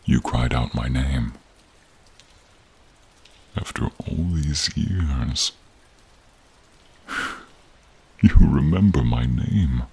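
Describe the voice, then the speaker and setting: Man voicing a very deep, gravelly gentle dragon. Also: exaggerated voice. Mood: moved, fond. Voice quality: very deep, gravelly, husky, breathy. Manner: tender, halting, hushed, drawn-out phrasing. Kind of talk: audio role-play speaking to an unheard listener. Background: rain and cave ambience.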